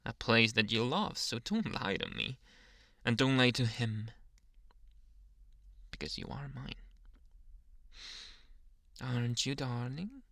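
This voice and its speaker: Man acting a possessive, teasing anime boyfriend, creaky close-up voice. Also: medium pitch, a bit bright, no room sound, full character voice. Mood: gloating, possessive. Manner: teasing, deliberate, dropping into whispers. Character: jealous, possessive, dominant anime boyfriend, mock-benign and menacing. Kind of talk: ASMR boyfriend roleplay.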